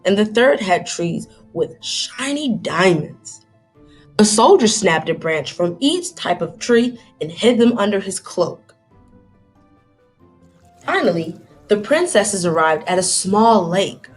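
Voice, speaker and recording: A woman's voice: medium pitch, lively intonation, takes it slowly, breathy edge, soft background music. A woman reading aloud to children like a teacher, dramatic and inquisitive, stopping to put questions to young listeners.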